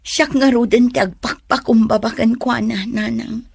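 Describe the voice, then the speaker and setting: A woman's voice: on the high side, steady tone, moderate pace, vocal fry, husky, no room sound. An actress playing a hurt, jealous young girlfriend in a Filipino radio drama, with a Filipino accent and an emotional, pleading or scolding delivery. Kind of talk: Philippine radio drama acting.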